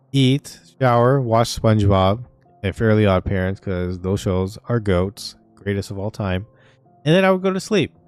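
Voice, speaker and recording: A man's voice: medium pitch, darkish tone, dry recording. A young man streaming a game, telling stories in a loose, rambling way full of "like" and "uh". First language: Vietnamese. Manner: rambling, filler-heavy storytelling. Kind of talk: casual gaming stream chat. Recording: faint game music behind.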